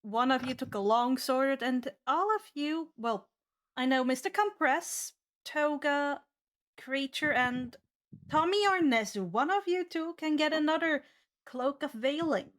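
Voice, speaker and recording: A woman's voice: high voice, lively intonation, measured pace. A woman who is not a native English speaker, narrating a tabletop fantasy game as game master.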